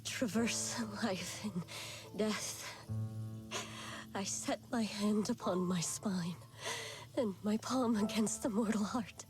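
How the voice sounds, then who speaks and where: A woman's voice: mid-range voice, takes it slowly, close to an everyday voice. An actress playing an earnest, solemn young woman in a dramatic game style. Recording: game music under the voice.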